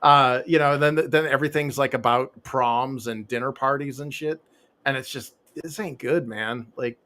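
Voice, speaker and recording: A man's voice: mid-range voice, normal speed, sharp tone. A man in his forties or fifties, a comic author talking as an interview guest in loose, rambling chat full of fillers, restarts and laughs, on a home podcast mic with a pop filter. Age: 40s to 50s.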